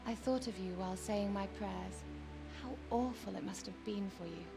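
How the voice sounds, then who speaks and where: A woman playing a gentle, pious noblewoman in a video game, speaking in a measured, formal, consoling way. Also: medium pitch, close to an everyday voice. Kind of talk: dramatic game cutscene acting. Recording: loud music under game dialogue.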